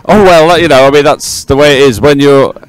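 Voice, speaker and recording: A man's voice: on the high side, fairly quick, clear, resonant tone, dry recording, low-quality recording. A man presenting a live sporting event in unscripted chat, effusive and repeating words for emphasis. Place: outdoors at a busy regatta venue.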